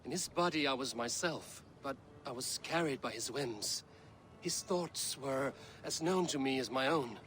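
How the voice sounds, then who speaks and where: A man speaking in a solemn, measured way as a video game hero recounting visions. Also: mid-range voice, fairly bright tone, slight background noise. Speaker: protagonist. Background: game music.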